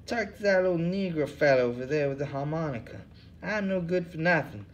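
Guy doing a tired mopey drifter, voice pushed up high, flat and sorry for himself. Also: a little fast, slight background noise, lightly acted. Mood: weary. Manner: listless, self-pitying, raised pitch. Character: weary genteel male drifter, listless and mournful.

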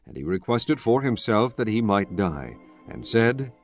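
Low-voiced solemn male Bible narrator. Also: big pitch swings. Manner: solemn, measured storytelling. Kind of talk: scripted dramatized Bible narration. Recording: music bed under the voice. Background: background music.

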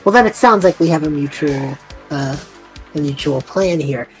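A woman's voice: deep voice, lively intonation, normal speed, faint music behind. A woman voicing an awkward, casual young male traveler in a tabletop roleplaying game. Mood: urgent.

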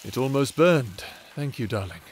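A man's voice: mid-range voice, expressive, normal speed, slight background noise, natural voice. A man playing a doting, villainous necromancer husband in a romantic ASMR audio drama, speaking in a theatrical, formal and tender manner. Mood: confiding, scheming.

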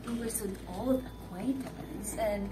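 A young woman acting a talkative, mocking woman in a stage play, with theatrical, deliberate delivery. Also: medium pitch, natural voice. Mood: reflective, flattering. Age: young adult. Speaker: stage actor. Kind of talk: theatrical one-act play dialogue. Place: indoor stage set with living-room furniture. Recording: roomy stage, distant mic, music underneath.

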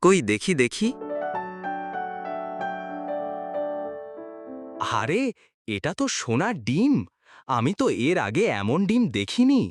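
A man as a hopeful village father, animated. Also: fairly high pitch, a little slow, music in the background. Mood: amazed.

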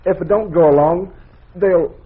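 Earnest, husky young frontiersman. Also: sits high, moderate pace. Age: young adult. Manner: earnest, pleading. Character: earnest young buffalo hunter, pleading lovesick fiancé. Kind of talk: old-time radio drama acting. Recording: muffled, tinny old radio recording.

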